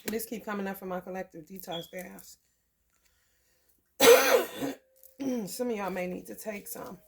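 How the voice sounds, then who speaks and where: A woman from the American Midwest giving a loose, profane tarot reading. Her throat is scratchy from allergies, and she keeps clearing it and laughing between thoughts. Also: mid-range voice, lively intonation, slow. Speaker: tarot reader. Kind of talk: casual spiritual reading to camera.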